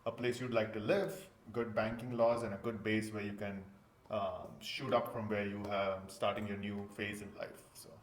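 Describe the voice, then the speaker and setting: A man's voice: fairly low pitch, little pitch movement, moderate pace, slight background noise. A man from India speaking casually as a guest in an interview, recorded in an echoing room. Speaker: interview guest, golden visa client. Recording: roomy, echoing indoor sound.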